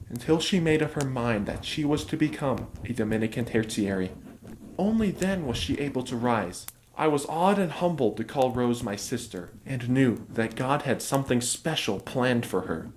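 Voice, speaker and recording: A man acting a devout young letter writer in an audio drama. His voice is medium-pitched and reflective, with faint noise behind it. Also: lively intonation, moderate pace, a little mellow, natural voice, poor audio. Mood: humbled, reflective. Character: devout young man recounting a wonder, humbled. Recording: clear background noise.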